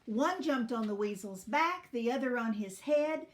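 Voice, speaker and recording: A woman storyteller reading expressively for children in a deliberate, teacherly manner. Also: fairly high pitch, expressive.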